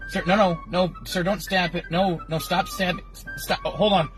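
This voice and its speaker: A man playing an exasperated, sarcastic police officer in a comedy skit, polite and deadpan, with music underneath. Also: high voice, normal speed, natural voice, rough recording. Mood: urgent pleading.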